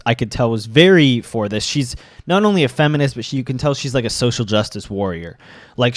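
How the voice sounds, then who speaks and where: Young male vlogger with a cold, stuffed up; agitated rant with lots of restarts, middle pitch, dry close sound. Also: no room sound. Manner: agitated, halting with frequent restarts and fillers. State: sick, a bit congested. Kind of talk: ranting commentary.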